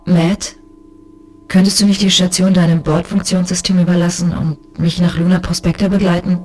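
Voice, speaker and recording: A woman as a low-voiced, bitter inspector. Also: little pitch movement, moderate pace, darkish tone, soft background music, close to an everyday voice. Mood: pressing. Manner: crisp, sardonic, reproachful. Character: bitter, lonely female space police inspector. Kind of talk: science fiction audio drama acting and narration.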